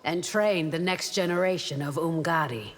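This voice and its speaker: A woman's voice: sits low, normal speed, close to an everyday voice. An actress playing a loyal, solemn, steely royal guard warrior woman in a fantasy fighting game, formal and resolute. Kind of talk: video game fighter intro dialogue. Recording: echoing hall, clear background noise.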